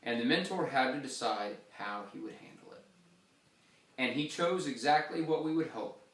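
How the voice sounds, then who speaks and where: A man reading a story aloud in a church, with expressive pauses and shifts in loudness. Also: medium pitch, echoey room, recorded from a distance, rough recording. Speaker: reader.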